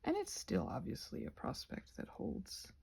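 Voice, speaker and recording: A woman chatting casually in a rambling ASMR style, breathy and often slipping toward a whisper, with playful, self-mocking asides. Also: moderate pace, darkish tone. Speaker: ASMR creator painting a craft while rambling.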